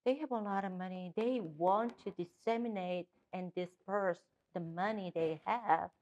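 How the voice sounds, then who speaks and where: A woman's voice: fairly low pitch, measured pace, darkish tone, lo-fi sound. A middle-aged woman with a Korean accent, a native Korean speaker, answering interview questions as a political candidate with frequent restarts and fillers.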